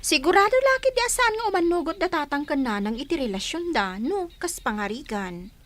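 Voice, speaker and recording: Animated high-voiced elder sister played by a woman. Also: fairly quick. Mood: thoughtful, curious. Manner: conversational, expressive. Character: chatty, teasing elder sister. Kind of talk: Ilocano radio drama acting.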